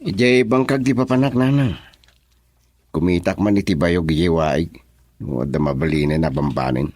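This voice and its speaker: A mild, dutiful young village suitor in a radio drama. His voice is low and husky, recorded dry and close. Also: moderate pace, darkish tone, no room sound. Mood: casual. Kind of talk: radio drama acting in Ilocano.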